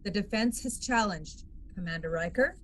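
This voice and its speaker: A mid-pitched female voice with faint noise behind it. A woman acts out a formal, even-handed military judge presiding in a sci-fi courtroom drama reading. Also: moderate pace, natural voice. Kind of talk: amateur table read of a sci-fi courtroom script.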